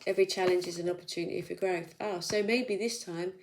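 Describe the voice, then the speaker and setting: A woman's voice: fairly low pitch, steady tone, fast talker, slight background noise, poor audio. A woman giving a casual weekly tarot reading, chatty and reassuring, thinking aloud as she goes, with a slight sore throat. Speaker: tarot reader.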